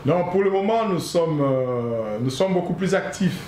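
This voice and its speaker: A man from Congo, a guest founder of an association, telling a personal story in an interview in an earnest, halting way, repeating his phrases. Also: fairly high pitch, lively intonation, a little mellow. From Congo (DRC). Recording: echoey room, faint noise.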